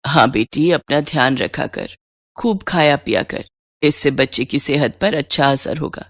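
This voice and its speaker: A woman's voice: sits low, unhurried, no room sound, clean studio sound, voice pushed down. A woman voicing an affectionate, settled older mother in an acted storybook dialogue. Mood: tender, contented.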